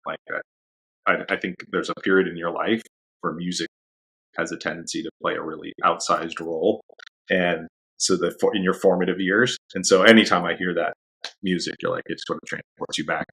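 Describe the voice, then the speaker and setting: Deep gravelly-voiced man chatting easily. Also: fairly quick, poor audio. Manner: relaxed and rambling, laughs often. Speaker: dog trainer guest. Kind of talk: casual interview chat.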